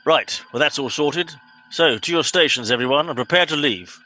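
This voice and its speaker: A man playing a pompous, bossy middle-aged captain in an amateur comedy radio play, brisk and officious. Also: a little high, pushed and bright, faint music behind, poor audio.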